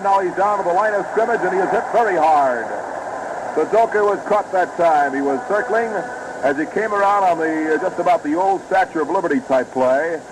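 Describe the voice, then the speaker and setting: Male American sportscaster, fifties radio play-by-play, fairly high voice, formal yet dramatic, noisy old broadcast. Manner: formal, detailed, dramatic narration of each play. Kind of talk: 1950s American football radio commentary.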